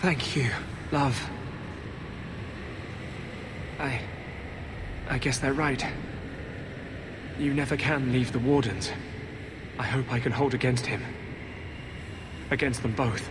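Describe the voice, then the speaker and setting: An actor playing an earnest, tormented former warden mage in a fantasy video game. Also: male voice, mid-range voice, fairly even intonation, a little slow, long pauses, noisy background, lightly acted. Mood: subdued, grateful. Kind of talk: video game cutscene acting.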